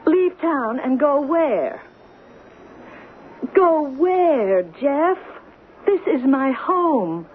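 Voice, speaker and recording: Bitter, stubborn woman, radio drama. Wounded, emphatic delivery with dramatic pitch swings, faintly noisy vintage recording. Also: very animated, drawn-out words, long pauses, phone-quality sound, full character voice. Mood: wary, hurt. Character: lonely, bitter, stubborn small-town woman clinging to home. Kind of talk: old-time radio drama acting.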